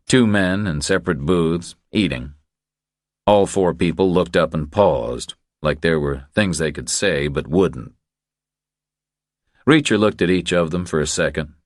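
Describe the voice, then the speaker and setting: Man, extremely low pitch, measured audiobook narration, dry close sound. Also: dry recording.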